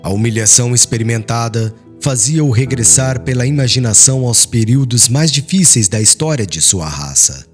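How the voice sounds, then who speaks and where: A male audiobook narrator reads literary prose in a solemn, dramatic style. His medium-pitched voice rises and falls widely, with faint music underneath. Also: normal speed, hardly any pauses. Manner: solemn, formal, expressive. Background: background music.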